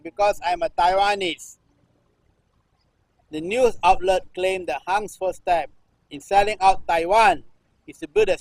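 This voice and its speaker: A man in his sixties from Singapore, a performance artist reading news text aloud in halting runs, restarting phrases and repeating a refrain. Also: high voice, no room sound, rough recording. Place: temple-like cage at an art festival.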